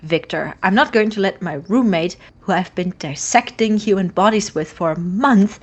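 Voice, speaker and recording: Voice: medium pitch, a bit bright. A woman playing an anxious, suspicious young roommate and lab partner in a naturalistic audio drama, earnest and sardonic. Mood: defiant, wary.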